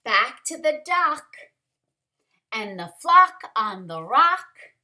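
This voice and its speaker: A woman reading a picture book aloud to young children, expressive and dramatic, speaking like a teacher who pauses to ask questions. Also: fairly high pitch. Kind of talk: animated storybook read-aloud for young children.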